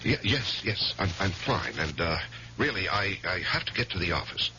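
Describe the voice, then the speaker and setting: A low-voiced, haunted lawyer. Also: male voice, lively intonation, sharp tone, slight background noise, exaggerated voice. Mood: evasive, nervous. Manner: halting, uneasy, confessional. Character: anguished wealthy lawyer in his forties, secretly haunted. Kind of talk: 1970s radio mystery acting. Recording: dull lo-fi 1970s radio recording.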